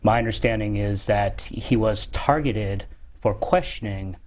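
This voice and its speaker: Male studio guest, expert type, lowish pitch, careful and hesitant interview delivery. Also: a little mellow. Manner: measured, hedging, halting. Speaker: guest expert on a security call-in show. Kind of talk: interview answers to callers.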